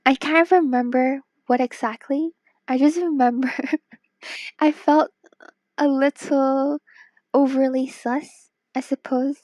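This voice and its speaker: A woman's voice: high-pitched, steady tone, slow pace, long pauses, a little mellow, dry recording. A woman from Hong Kong chatting casually on a late-night stream, tired and worn-voiced, playful and teasing, sometimes dropping to a whisper. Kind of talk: casual live-stream chat while drawing.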